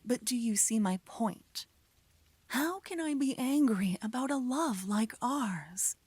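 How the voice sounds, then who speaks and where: A lovestruck young female mage played by a woman speaks in a soft, breathy voice that often slips into whispers. She lingers over her words, coaxing and poetic, as in an intimate fantasy ASMR roleplay. The sound is dry and close. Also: fairly high pitch, animated, slow pace, dry recording, exaggerated voice. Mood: adoring.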